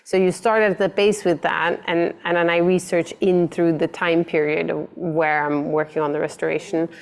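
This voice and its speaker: Casting: low-voiced woman guest designer, reflective, ums before ideas. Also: little pitch movement. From grew up in Italy. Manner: thoughtful, slow to start, many fillers. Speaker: interior designer and architect guest. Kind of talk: conversational interview answers.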